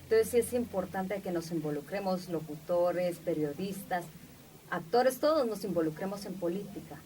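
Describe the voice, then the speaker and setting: A woman's voice: fairly low pitch, normal speed, dark tone. A woman from Guatemala speaking earnestly as a guest in a conversational interview, repeating phrases for emphasis. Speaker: interview guest, media personality. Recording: distant mic, faint background noise.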